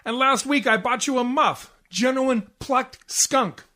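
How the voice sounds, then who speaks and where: A man's voice: very high voice, a little slow, pushed and bright, heavily acted. A man plays an aggrieved husband in an old-time radio comedy. He bickers and complains with indignant self-pity, like someone worn down by married life. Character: exasperated henpecked husband, bickering and self-pitying. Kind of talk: 1940s radio comedy acting.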